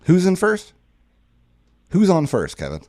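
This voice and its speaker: A man's voice: sits high, a little slow. A man in his forties co-hosting a podcast, chatting and teasing about football picks. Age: 40s. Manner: animated, teasing. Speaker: podcast co-host. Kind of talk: casual sports chat.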